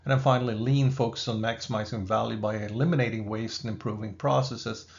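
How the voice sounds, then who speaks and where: A man with a Swedish accent, a business school professor giving a recorded lecture over slides in a measured, explanatory way. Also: fairly low pitch, fairly even intonation, vocal fry, highs cut off. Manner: measured, explanatory, with occasional asides.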